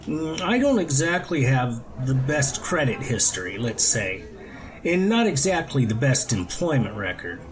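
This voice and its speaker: A man's voice: medium pitch, big pitch swings, measured pace. A man voicing a creepy, oddly earnest male karaoke singer in a storybook reading, with an overwrought manner. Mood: awkwardly eager.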